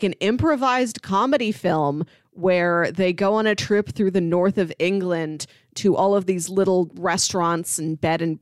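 A woman's voice: lowish voice, no room sound, clean studio sound. A woman co-hosting a film podcast in a casual, conversational style. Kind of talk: casual chat, film recommendations.

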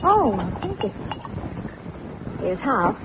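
An actress playing a detective's sweet, loyal secretary in a 1940s radio drama. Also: female voice, a little high, very animated, extremely slow, exaggerated voice. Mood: sweet, fond. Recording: very noisy, muffled old radio recording.

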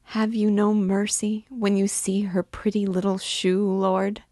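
A woman's voice: on the low side, flat delivery, very slow, vocal fry, dry recording, clean studio sound, full character voice. A woman voicing a grieving recluse mother who wails and pleads for her lost child. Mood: frantic pleading. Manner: wailing, pleading lament.

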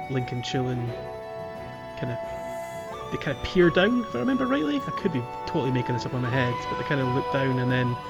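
Man, podcast co-host, casual gaming chat, dramatic emphasis, mid-range voice, music behind. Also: mid-range voice. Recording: music playing under the voice. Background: background music.

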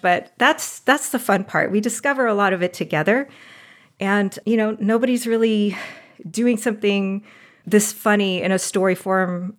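Woman, mid-pitched voice, chatty, enthusiastic, laughs often, interview guest. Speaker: audio drama producer and guest. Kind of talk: casual interview chat.

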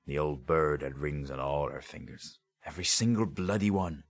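A man's voice: very deep voice, normal speed. A man voicing a haunted, greedy grave robber in an audiobook story, with a confiding, colloquial way of telling things. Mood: greedy relish.

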